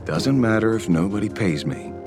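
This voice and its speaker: A wry, streetwise investigator who works alone in a game. His lowish voice moves with lively swings as he drawls sardonic asides. Light game music sits behind him. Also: normal speed. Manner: sardonic, drawling, self-assured. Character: wry streetwise male investigator, lone-wolf justice seeker. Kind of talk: game dialogue voice acting. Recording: studio voice with faint game music.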